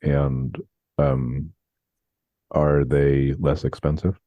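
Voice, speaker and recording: A man's voice: a bass voice, flat delivery, drawn-out words, no room sound. A man speaking as a guest doctor, thoughtful and hesitant with many fillers. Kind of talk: expert explanation in interview. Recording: dry tinny phone-like line.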